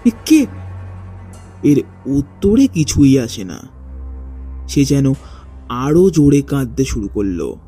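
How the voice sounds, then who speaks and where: A man narrating a first-person ghost story in a dramatic, suspenseful way with deliberate pauses. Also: mid-range voice, big pitch swings, dark tone, husky. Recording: faint music behind the voice. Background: faint background music.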